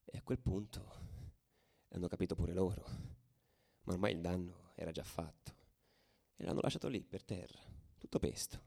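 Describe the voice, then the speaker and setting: A man's voice: sits low, normal speed, vocal fry, long pauses. A man playing a furious, worn-out young football ultra in a dramatic radio theatre monologue. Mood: grim. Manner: swinging from hushed telling to shouted frenzy. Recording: drum music behind the voice.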